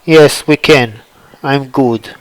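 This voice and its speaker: A man doing a baffled young man who speaks slowly, in a clear, smooth voice. He sounds defensive and lost, and his words come out dry and close. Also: medium pitch, dry recording, voice pushed down, close to an everyday voice, low-quality recording. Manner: bewildered, defensive.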